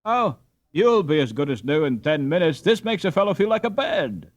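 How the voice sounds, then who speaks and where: A man puts on an excitable boy from a children's adventure story. His delivery is exclamatory, raised in pitch and very animated, in a dry close recording. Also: moderate pace, dry recording. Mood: thrilled. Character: excitable English schoolboy, eager and easily alarmed.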